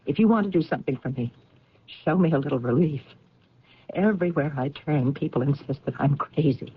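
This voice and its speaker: A woman's voice: low voice, a little fast, slightly rough, phone-quality sound. A woman acting in an old-time radio drama as an insistent wife convinced that her husband is alive, earnest and emphatic under strain. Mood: wounded, defiant.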